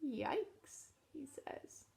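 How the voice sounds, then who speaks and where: A woman reading a picture book aloud to children in an expressive read-aloud manner, dropping to soft, chatty asides about the pictures. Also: extremely slow. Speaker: storybook reader.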